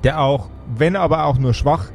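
A man narrating an improvised fantasy role-playing game as game master, with a deliberate, descriptive delivery. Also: on the high side, a little mellow. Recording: music behind the voice. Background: music.

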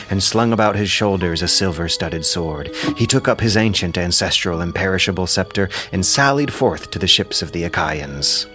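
A man giving measured, theatrical narration of an epic audio drama over music. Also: low-pitched, fairly bright tone.

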